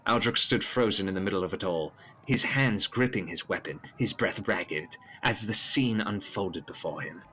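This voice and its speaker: A man's voice: deep voice, moderate pace, few pauses, pushed and bright, a little hiss or hum. A man delivering scripted, dramatic narration of a gothic horror story.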